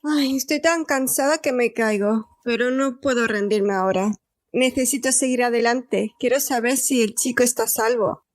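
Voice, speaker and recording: Woman playing a high-voiced, frightened but determined captive. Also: normal speed, dry recording, close to an everyday voice. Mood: weary, determined. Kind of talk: first-person dramatic narration in audio fiction.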